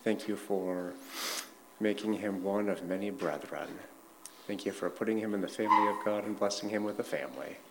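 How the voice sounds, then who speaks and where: A man's voice: fairly low pitch, moderate pace, vocal fry, very noisy. A man who opens with an earnest prayer and leads the interview, joking with his guest. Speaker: pastor leading the interview.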